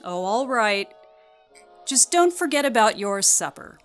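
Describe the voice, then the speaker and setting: An actress playing a nagging, lonely housewife in a radio play, with a plaintive, chiding manner. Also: female voice, a little high, very animated, unhurried, faint music behind. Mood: solicitous. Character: nagging, lonely housewife who dotes on her dog. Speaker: actress in an audio drama.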